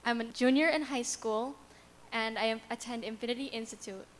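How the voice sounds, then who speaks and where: A woman's voice: fairly high pitch, fairly even intonation, fairly quick. A seventeen-year-old girl from New Jersey chatting casually in a roundtable. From New Jersey, United States. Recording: reverberant room with faint ambient noise.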